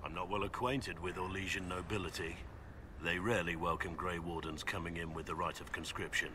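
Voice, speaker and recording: A man playing a gruff, guarded veteran warrior in a video game, curt, dry and plain-spoken. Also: low voice, steady tone, brisk, background noise, close to an everyday voice. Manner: curt, dry, matter-of-fact. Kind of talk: video game dialogue acting.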